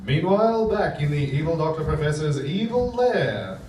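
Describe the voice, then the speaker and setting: Man as a mellow, highish serial narrator. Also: sing-song, moderate pace, faint music behind. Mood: scene-setting. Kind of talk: live comedy radio play narration. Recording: echoey, distant stage recording.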